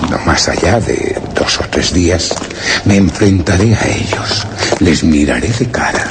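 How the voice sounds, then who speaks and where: An actor playing a solemn, anxious chronicler in a radio horror drama, grave and formally literary, his phrase endings turning breathy. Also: sits low, heavy background noise. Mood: grave resolve. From Spain. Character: solemn, anxious male chronicler of a mysterious encounter. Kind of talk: radio horror drama, first-person narration of a written account. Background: music.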